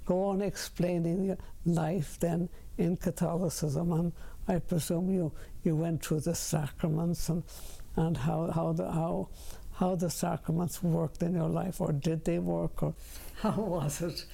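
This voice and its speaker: Older guy interviewing someone, slow and careful, gravelly dark voice, flat delivery. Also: fairly high pitch, poor audio. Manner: slow, deliberate, repeats words. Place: room with bookshelves.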